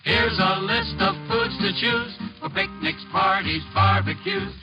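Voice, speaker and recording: A man's voice: very high voice, huge pitch swings, unhurried. A man performing a 1950s radio advertising jingle. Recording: dull old radio, loud music.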